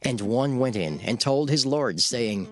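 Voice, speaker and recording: A man narrating dramatized scripture in a measured, solemn storytelling manner. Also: mid-range voice, animated, a bit bright. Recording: faint music behind the voice.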